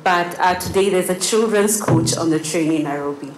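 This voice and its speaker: A woman's voice: fairly low pitch, moderate pace, reverberant, crowd noise, noisy background. An eighteen-year-old woman from Kenya whose first language is Kiswahili, giving an earnest prepared speech at a gala dinner, with frequent uh fillers. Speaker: student speaker. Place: large banquet hall at a gala dinner.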